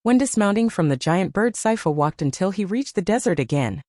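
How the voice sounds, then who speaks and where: Woman storyteller for kids, scripted reading, lots of up and down in the pitch. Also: expressive, brisk, a little mellow, no room sound, clean studio sound. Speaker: narrator. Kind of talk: scripted children's storybook narration.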